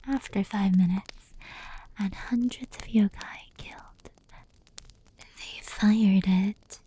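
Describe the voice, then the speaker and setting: Girl acting as an affectionate anime fox spirit, super soft breathy whisper, really slow, asmr bedtime storytelling. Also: medium pitch, low-quality recording. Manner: slow, intimate, hushed storytelling.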